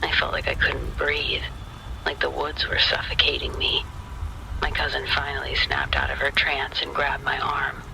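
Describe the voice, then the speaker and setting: A man narrating a scripted spooky story in the first person, in a hushed, deliberate, suspenseful manner. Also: mid-range voice, monotone, gravelly, background noise.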